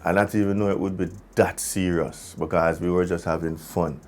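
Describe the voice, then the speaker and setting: A Jamaican man, a musician, telling a story casually in an animated way, with patois phrasing. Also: low voice, moderate pace. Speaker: musician, singer in a group.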